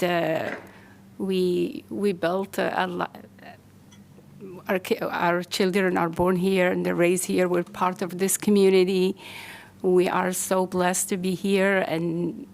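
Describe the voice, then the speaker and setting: A woman's voice: on the low side, gravelly, a little mellow, slight background noise. A woman from Algeria, giving brief, hesitant thanks at a city council meeting. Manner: hesitant, many pauses and restarts. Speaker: community member at public comment. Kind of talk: brief thank-you remarks.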